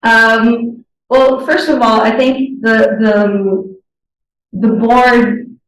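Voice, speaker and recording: Young woman, Portuguese native speaker, flat even delivery, deliberate, very echoey room, far from the mic. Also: fairly high pitch. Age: young adult. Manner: deliberate, often pausing to search for words. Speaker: interviewee, board candidate. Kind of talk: interview answers.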